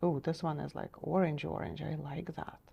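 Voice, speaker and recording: A middle-aged woman teaching a step-by-step painting lesson in a TV studio, gentle and encouraging, her voice a little strained as she is losing it. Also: sits low, little pitch movement, normal speed, creaky, breathy edge. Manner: gentle, encouraging, checks in with "okay?". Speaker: painting instructor.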